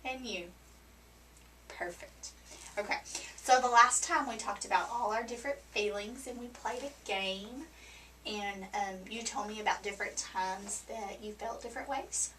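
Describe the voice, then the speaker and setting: A woman counselor with a scratchy, hoarse voice, explaining things gently and patiently to a client in a counseling room. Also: medium pitch. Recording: distant mic with faint background noise.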